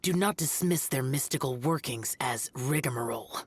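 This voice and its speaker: A woman playing a solemn, stern ritual master of arcane arts in a video game, speaking in a formal, grave, measured way. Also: very deep voice, slight background noise. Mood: stern, instructive. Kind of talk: video game character acting.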